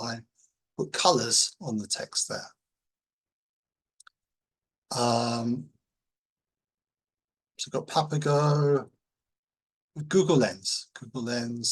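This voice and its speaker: A man teaching in an informal tutorial, explaining things in a halting, hesitant way. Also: medium pitch, slightly rough, poor audio. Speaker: language-learning teacher.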